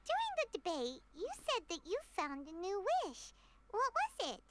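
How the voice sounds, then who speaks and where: A woman's voice: extremely high pitch, wildly swooping pitch, normal speed, heavy background noise, heavily acted. A woman voicing a tiny, perky, childlike fairy companion in a video game. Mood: curious.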